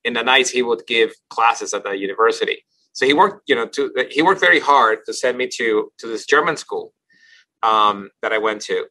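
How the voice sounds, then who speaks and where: A man's voice: medium pitch, animated, normal speed, sharp tone, low-quality recording. A man from Ecuador, a company CEO, telling his life story as a podcast guest, thoughtful, often restarting and repeating words. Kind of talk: casual interview storytelling.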